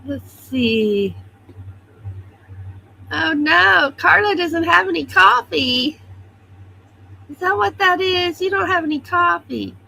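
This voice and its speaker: Woman, livestream host, chatty, calls out viewers by name, slightly stuffy, bright unhurried voice, faint hum behind. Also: very high voice, lively intonation, gravelly, rough recording. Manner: chatty, greets viewers one by one. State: a little stuffy. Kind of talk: casual morning chat reading viewer comments. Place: home, at her computer.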